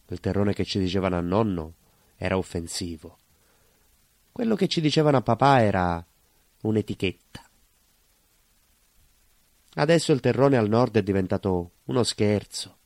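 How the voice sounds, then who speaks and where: A dry, close sound carries a stubborn, nostalgic baker. He tells his story as a colloquial stage monologue, leaning on repeated phrases and deliberate pauses. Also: fairly low pitch, a little mellow, no room sound. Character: proud, plain-spoken northern-born Calabrian baker, stubborn and nostalgic. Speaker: stage actor.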